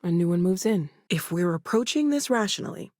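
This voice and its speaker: Young woman space explorer, played by an actress, earnest, caring, wry, conversational, expressive game dialogue, very dry studio sound. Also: fairly low pitch, brisk, no echo, close to an everyday voice. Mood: calm, thoughtful. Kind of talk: naturalistic video game voice acting.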